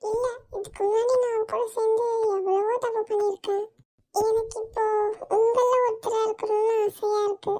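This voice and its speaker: A woman's voice: very high voice, steady tone, normal speed, darkish tone. A woman voicing an anxious, tearful young woman who is fearful and pleading. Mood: tearful, scared. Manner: tearful, trembling.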